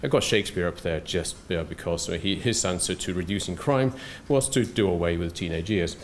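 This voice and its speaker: A middle-aged soft-spoken man giving a conference lecture at a podium, hesitant with many fillers and restarts and dry asides. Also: deep voice, moderate pace, slight background noise. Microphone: podium microphone.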